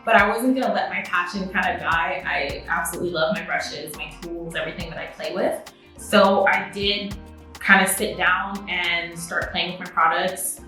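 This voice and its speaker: Bright, chatty French-native beauty vlogger. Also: female voice, lowish voice, steady tone, moderate pace, far from the mic, soft background music. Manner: chatty and upbeat, with laughs. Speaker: beauty vlogger and makeup artist. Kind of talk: casual to-camera vlog. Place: indoor home studio with a makeup setup. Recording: echoey room, mic set back.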